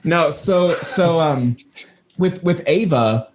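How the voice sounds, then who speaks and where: A man's voice: fairly high pitch, slow pace. A young man hosting a podcast with casual, profane banter and rambling anecdotes, in a very echoey room. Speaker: podcast host.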